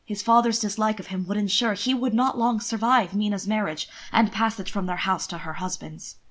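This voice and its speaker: A woman narrating a period romance story as an amateur audiobook reader, with expressive, scripted delivery. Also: mid-range voice, moderate pace. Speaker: amateur fan fiction narrator.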